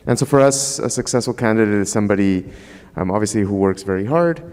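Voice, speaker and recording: A man from Ecuador speaks on a conference panel in a slightly low, very clear voice. He is thoughtful and measured, with frequent ums. A long hall reverb follows his words. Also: darkish tone. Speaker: panelist, researcher.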